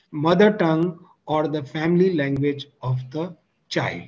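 Older Indian man, formal keynote speaker, hesitant delivery, lo-fi call audio. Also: fairly high pitch. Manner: halting, many fillers. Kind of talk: formal conference address. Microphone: video call. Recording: dull video call audio.

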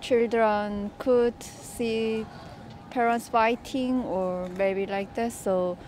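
A young woman, not a native English speaker, giving a halting street interview answer outdoors with many fillers. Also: a little high, steady tone, darkish tone, slight background noise. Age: young adult. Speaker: passer-by interviewee. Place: city park outdoors.

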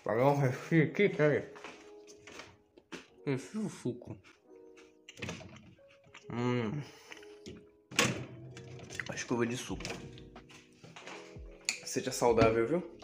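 A young Brazilian man filming a casual house tour on a handheld phone, joking and self-mocking, swinging between low mutters and loud outbursts. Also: animated, takes it slowly, long pauses. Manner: playful, self-mocking, drops to mutters then shouts. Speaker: YouTuber giving a house tour. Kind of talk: casual vlog, joking to the viewers. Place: home kitchen and bedroom, indoors. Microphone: handheld phone camera. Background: lo-fi music playing.

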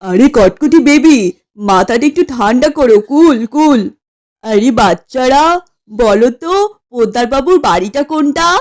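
A woman's voice: high voice, lively intonation, moderate pace, a little mellow. A woman voicing a placid, doting husband in a comedy cartoon, coaxing and using bits of English. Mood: soothing. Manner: coaxing, sprinkles English words. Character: placid, doting husband soothing his fiery wife. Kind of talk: exaggerated comedy cartoon acting.

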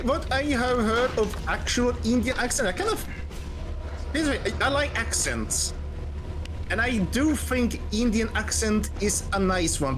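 A man chatting casually on a game stream, hesitant and full of restarts. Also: high-pitched. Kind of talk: casual chat. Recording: game music behind.

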